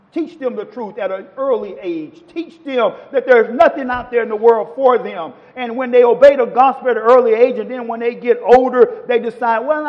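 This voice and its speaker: Guy preaching a sermon, pretty high voice, dull muffled church recording. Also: big-room sound. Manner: preaching with rising and falling emphasis, quoting scripture.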